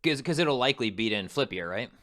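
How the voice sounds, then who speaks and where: A man's voice: medium pitch, expressive, quick pace, fairly bright tone. A man hosting a casual interview, upbeat and asking long rambling questions. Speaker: interviewer, channel host.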